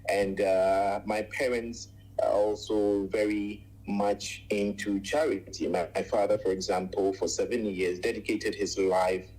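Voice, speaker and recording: Ghanaian-born man, 40s, low husky voice, unhurried radio guest who pauses a lot. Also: flat delivery, a little mellow, rough recording. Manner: measured, frequent "uh" pauses, lists things at length. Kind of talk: radio interview, recounting life story.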